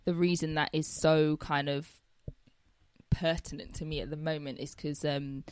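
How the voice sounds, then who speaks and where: Woman, low voice, hesitant casual chat, dry close sound. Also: little pitch movement, normal speed, clear, resonant tone, no room sound. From London. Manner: hesitant, many fillers and restarts. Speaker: social worker, podcast co-host.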